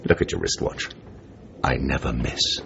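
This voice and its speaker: An actor playing a smug Soviet assassin who poses as a chummy English gentleman, taunting in clipped, mock-genteel slang in a radio drama. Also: very deep voice, normal speed. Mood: coolly menacing. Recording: noisy, muffled radio broadcast recording.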